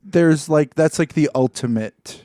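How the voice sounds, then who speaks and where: A man's voice: fairly high pitch, a little slow, warm tone, no echo, clean studio sound. A man co-hosting a comedy podcast, riffing in casual banter with mock-formal turns of phrase.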